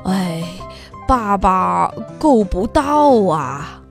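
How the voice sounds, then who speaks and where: A woman voicing a gentle, soft-hearted rooster dad who sighs and hesitates fondly, in a children's storybook reading. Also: mid-range voice, sing-song, extremely slow, vocal fry, loud music behind the voice, voice pushed down, exaggerated voice. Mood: flustered. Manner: sighing, hesitant, fond.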